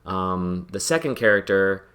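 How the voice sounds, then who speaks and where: A man's voice: sits low, expressive, unhurried, fairly bright tone. A man hosting a podcast, chatting casually while playing a game, rambling and self-deprecating and laughing often. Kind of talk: casual chat while gaming.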